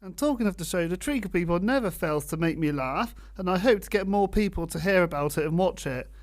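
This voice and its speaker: Radio interviewer with a medium-pitched voice. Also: lively intonation, brisk, darkish tone. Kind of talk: radio interview with prepared questions.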